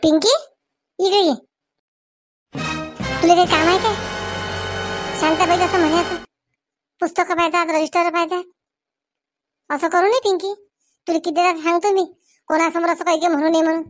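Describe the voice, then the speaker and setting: A woman's voice: very high voice, steady tone, normal speed, pauses often, music in the background. A woman voicing a fretful, strict village mother in a comedy cartoon, admonishing her child. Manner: fretting, admonishing.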